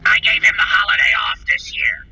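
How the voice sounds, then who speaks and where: A man's voice: high voice, brisk, close to an everyday voice, poor audio. A man playing a snarky, heckling, penny-pinching sidekick in a campy audio comedy. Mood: grumbling. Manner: heckling, sarcastic. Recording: loud music behind voice.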